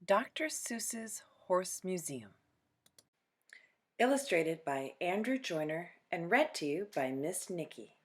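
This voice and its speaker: Woman, storytime reader, slightly low voice, unhurried pace, expressive read-aloud, deliberate dramatic pauses.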